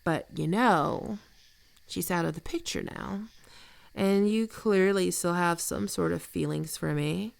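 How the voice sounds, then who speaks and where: Woman acting a very creaky, teasing flirt. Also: on the low side, no echo. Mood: flirtatious teasing. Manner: casual, teasing, unhurried. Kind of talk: intimate ASMR roleplay acting.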